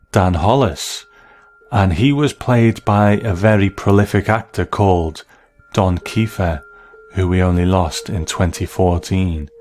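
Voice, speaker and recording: A man hosting a scripted episode commentary, speaking in a measured, appreciative way. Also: low-pitched, darkish tone. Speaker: host of a TV episode commentary show.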